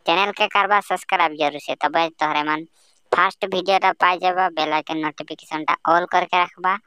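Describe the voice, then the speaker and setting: A man's voice: high-pitched, steady tone, quick pace, sharp tone, smooth, dry recording. A man closing his cartoon channel's video, asking viewers to like, share and subscribe. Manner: friendly, direct asking to subscribe. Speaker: channel creator. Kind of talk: channel outro appeal.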